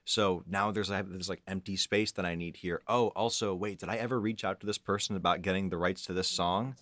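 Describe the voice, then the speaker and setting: A man's voice: sits low, fairly even intonation, fairly quick, a bit bright. A man on an audio production team, explaining his work in a casual discussion. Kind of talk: casual behind-the-scenes discussion.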